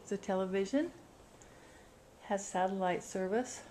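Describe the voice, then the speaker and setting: A woman's voice: mid-range voice, a little slow, darkish tone. A woman hosting a casual walk-through tour of a rental house, speaking in short descriptive phrases as she moves from room to room. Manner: short descriptive phrases while walking about. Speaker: vacation rental host giving a house tour. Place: indoors, moving through rooms of a house. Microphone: handheld camera's built-in mic. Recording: noisy handheld camera recording.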